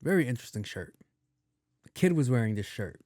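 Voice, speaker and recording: A young man hosting a podcast in a soft voice, rambling casually with plenty of cursing and restarts. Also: sing-song, a little fast, no room sound, clean studio sound. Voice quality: soft, not deep. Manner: loose and profane, with many restarts and repeats. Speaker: podcast host.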